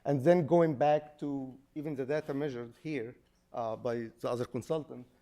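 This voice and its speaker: A man from Egypt, an engineering consultant, explaining technical findings at a public hearing in a loud voice with frequent restarts and fillers. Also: medium pitch, normal speed.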